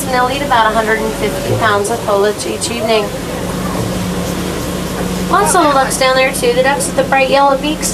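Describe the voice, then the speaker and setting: A woman with an American accent, working as a tour guide and narrating animal facts from a script on a safari ride. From United States. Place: moving open safari vehicle outdoors. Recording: very noisy, distant vehicle loudspeaker. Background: loud vehicle rumble and ambience.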